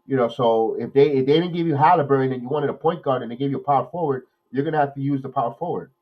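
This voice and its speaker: A man, nasal from a cold, hosting a watch-along podcast, calling plays with excitement and then rambling through his opinions. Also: mid-range voice, racing, highs cut off. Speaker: podcast host doing live play-by-play. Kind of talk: watch-along game commentary and chat.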